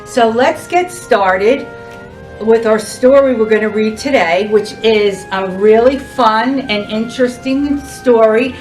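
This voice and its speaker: Woman, children's storytime host, high voice, sing-song expressive delivery, distant mic, music behind. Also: takes it slowly. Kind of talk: children's storytime read-aloud, addressing young kids.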